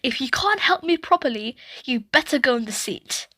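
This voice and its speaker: A woman's voice: sits high, expressive, moderate pace, pushed and bright, no echo, exaggerated voice. A woman voicing a patient but flustered mother in a picture book, giving short instructions. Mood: stern. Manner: short firm instructions, tag questions.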